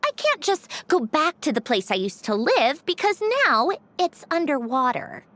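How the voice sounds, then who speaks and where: Haughty, high-voiced game villainess. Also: female voice, sing-song, normal speed, a bit bright. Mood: scornful, smug. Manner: dry, condescending, theatrical. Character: haughty, sardonic young female villain, proud and scheming. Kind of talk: video game character dialogue.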